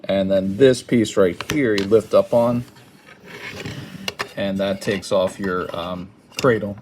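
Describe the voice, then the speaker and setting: A man giving an informal hands-on product tutorial in a hesitant, halting, apologetic manner. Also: sits low, fairly even intonation, noisy background. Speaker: product demonstrator. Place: indoors at a wooden table.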